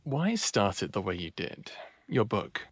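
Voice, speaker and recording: An actor playing a smartass human man in his late thirties in an intimate audio drama, soft-spoken, flirtatious and playful. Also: on the low side, huge pitch swings, measured pace, no room sound, exaggerated voice. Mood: playful, flirty. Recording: faint music under the voice.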